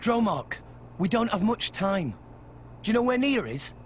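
High-voiced plucky boy hero. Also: very animated, normal speed, a little hiss or hum. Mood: curious, urgent. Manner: earnest, youthful, spirited. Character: earnest, plucky, loyal teenage boy hero. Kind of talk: video game cutscene acting.

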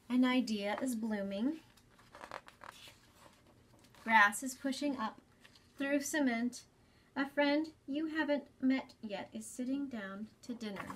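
A woman in her thirties hosting a children's storytime, explaining gently and deliberately in a slightly breathy voice. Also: on the high side, a little hiss or hum. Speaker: children's storytime host at a library. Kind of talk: children's storytime reading and talk. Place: small library space, indoors.

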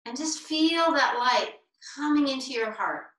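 Woman, meditation teacher, slow spaced-out phrases, clear bright tone, distant roomy video-call sound. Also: high-pitched, expressive. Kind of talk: guided meditation.

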